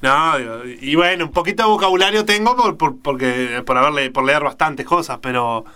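Male host, Uruguayan accent, forceful bright tone, slightly high pitch, casual banter, hesitant, self-correcting, digressive. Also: fairly quick, smooth. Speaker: radio show host.

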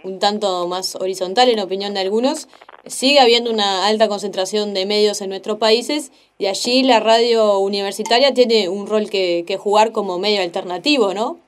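A woman hosting a radio interview, measured and ending her points with leading questions. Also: medium pitch, a little hiss or hum.

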